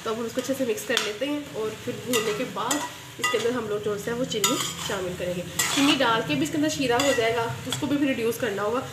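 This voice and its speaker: Chatty woman cooking over loud sizzling pans. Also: fairly high pitch, fast talker, heavy background noise. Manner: chatty, addresses viewers directly, often adds a pious phrase. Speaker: cooking channel host. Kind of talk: casual step-by-step cooking demonstration. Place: home kitchen at the stove. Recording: echoey kitchen with loud sizzling and clanking pans.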